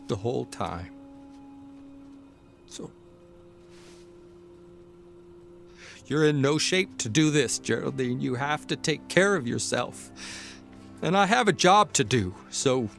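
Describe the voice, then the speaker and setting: An actor playing a weary, lonely travelling writer, confiding haltingly in a period radio drama monologue. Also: male voice, medium pitch, creaky. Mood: resigned, hesitant.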